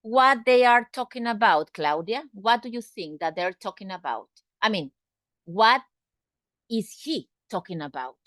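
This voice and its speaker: Brief: woman English teacher, slow and patient, repeats words, dry close sound. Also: medium pitch, expressive, fairly bright tone, dry recording. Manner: asks students direct questions. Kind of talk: online English class.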